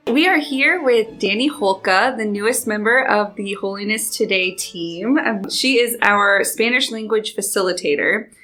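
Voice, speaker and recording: Bright woman asking interview questions. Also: medium pitch, moderate pace, few pauses, soft background music.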